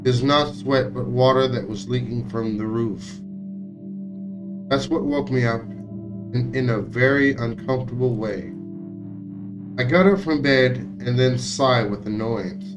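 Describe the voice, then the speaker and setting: A man streaming a visual novel, reading its text aloud in a somewhat halting way with occasional stumbles. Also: medium pitch. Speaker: game streamer.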